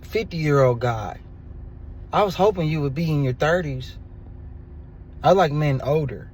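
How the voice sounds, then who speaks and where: Brief: young man in his twenties, slightly high voice, crude and animated, ranting about dating with lots of repetition. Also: a little slow, a little hiss or hum. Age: 20s. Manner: crude, animated, repetitive, swings between low mutters and loud outbursts. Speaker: dating commentator. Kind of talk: casual storytelling rant.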